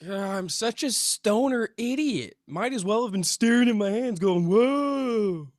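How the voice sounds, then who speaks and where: A man's voice: high voice, expressive, measured pace, no echo. A man acts out a down-on-himself stoner who hunts UFOs. He grumbles like a self-pitying slacker in a comedy script read, with a very dry, close sound. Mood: dejected, frustrated. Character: dejected stoner man, veteran UFO hunter.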